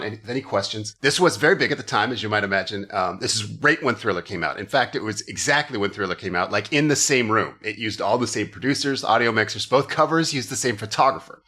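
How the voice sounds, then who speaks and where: A man's voice: medium pitch, expressive, fast, few pauses, sharp tone. A man hosting a comedy podcast, telling stories casually with wry, profane jokes.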